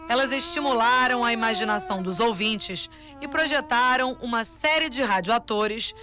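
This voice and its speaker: A woman giving scripted narration for a radio documentary in a measured, formal way. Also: a little high, over music.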